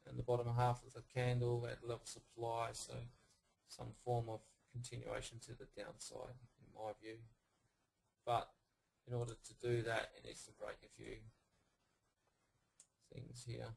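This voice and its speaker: A man with a gravelly, fry-laden voice gone husky from overuse talks a trading room through market charts. He halts often, fills gaps with ums, and points from one level to the next. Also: medium pitch, completely flat delivery, darkish tone, poor audio. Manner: halting, with many fillers and pointing phrases. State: hoarse, losing his voice. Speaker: forex trading room presenter. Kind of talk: live chart analysis talk.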